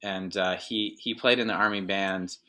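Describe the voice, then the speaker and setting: A man's voice: sits low, steady tone, normal speed, very noisy. A middle-aged man from Northern Virginia, a drummer guest in a casual interview, talking in a rambling way with many fillers and restarts.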